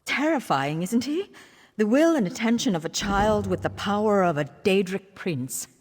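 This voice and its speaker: An actress playing an earnest, scholarly mage with a formal, dryly wry manner in a fantasy video game. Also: female voice, mid-range voice, lively intonation, moderate pace, natural voice. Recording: long hall reverb.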